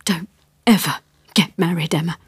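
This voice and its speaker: A woman's voice: on the low side, moderate pace, husky. A woman voicing a distraught, bitter, melodramatic wailing woman in an audiobook story. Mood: wailing despair.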